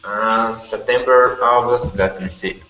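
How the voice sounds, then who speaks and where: A Brazilian man with a Portuguese accent, a student speaking English haltingly on a video call while he searches for words. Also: lowish voice, steady tone, bright, forceful tone. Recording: echoey, dull call audio with faint noise.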